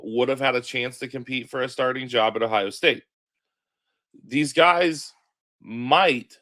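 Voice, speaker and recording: A man hosting a casual sports podcast, sounding stuffy from a cold, chatting in a relaxed way. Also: medium pitch. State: stuffy, congested. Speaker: podcast host.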